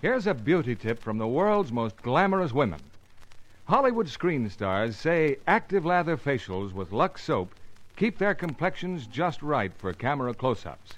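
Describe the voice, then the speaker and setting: A man's voice: medium pitch, sing-song, moderate pace, rough recording. A man announcing in a polished, emphatic, scripted 1950s radio advertising style. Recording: 1950s radio broadcast, faint music.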